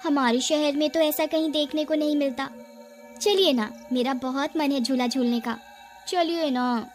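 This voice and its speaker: A woman performs a young village wife in a cartoon ghost story. Her voice sits high and she speaks expressively, with a playful, pleading manner. Music plays behind her. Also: steady tone, unhurried. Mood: eager pleading. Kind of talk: animated horror story dialogue.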